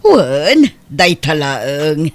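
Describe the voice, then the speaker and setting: A woman's voice: sits low, big pitch swings, very slow, noisy background, full character voice. A woman playing a commanding but generous lady of the house in an Ilocano comedy drama. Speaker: voice actress.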